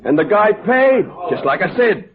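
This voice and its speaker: High, lively radio-drama bartender. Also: male voice, normal speed. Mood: gossipy, scornful. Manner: colloquial, folksy, gossipy. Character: chatty, slangy male bartender, scornful of deadbeats. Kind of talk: old-time radio drama acting. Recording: tinny old radio recording, crowd noise.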